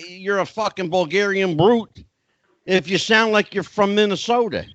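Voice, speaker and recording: A man hosting a podcast panel who talks excitably and keeps jumping in with questions. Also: sits high, moderate pace, no echo, lo-fi sound. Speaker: podcast host. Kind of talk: casual podcast panel chat.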